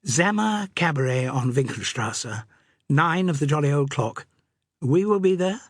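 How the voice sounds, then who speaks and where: Man doing a crisp posh young lady, pitched up, cool and clever. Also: expressive, a little slow, no room sound, studio quality. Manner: crisp, poised, upper-class. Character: cool clever young upper-class English woman.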